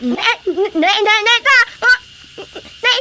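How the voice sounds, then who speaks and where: A woman playing a quarrelsome, insistent comic ghost creditor in a Filipino radio comedy, with a shrill, whiny delivery. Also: very animated, slow, very noisy, full character voice. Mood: shrill, demanding.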